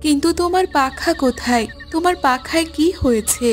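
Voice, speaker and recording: A woman's voice: sits high, a little fast, music in the background, close to an everyday voice. A woman voicing a tender, anxious woodcutter's wife and loving mother in a cartoon fairy tale. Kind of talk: animated fairy-tale dialogue.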